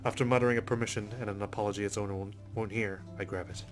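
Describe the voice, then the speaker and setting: Low, quick, even-toned male game narrator. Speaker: let's play host. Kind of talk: reading game narration aloud with casual asides. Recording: music behind the voice.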